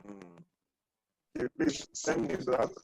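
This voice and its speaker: Male lecturer, 30s, online class, halting and repetitive, dry close sound. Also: darkish tone, no echo, low-quality recording. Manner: repetitive, halting, asks for questions. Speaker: accounting lecturer. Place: small indoor room. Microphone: webcam video call with earphones.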